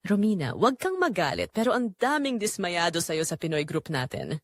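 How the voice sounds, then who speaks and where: A woman's voice: fairly low pitch, sing-song, moderate pace, no room sound, studio quality. A woman with a Filipino accent voicing a concerned co-worker, hesitant and tactful, in a story.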